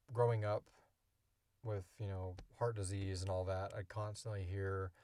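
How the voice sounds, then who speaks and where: A man's voice: low-pitched, darkish tone, no echo. A man in his thirties hosting a casual podcast Q&A, rambling along in an enthusiastic, jokey way. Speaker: podcast creator and host. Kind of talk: casual Q&A chat.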